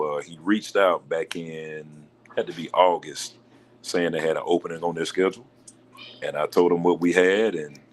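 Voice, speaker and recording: A man with a deep voice, a basketball coach being interviewed on a local sports talk show, answering in a measured, polite way. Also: a little hiss or hum. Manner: measured, polite, says "yes, sir". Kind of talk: casual radio show interview.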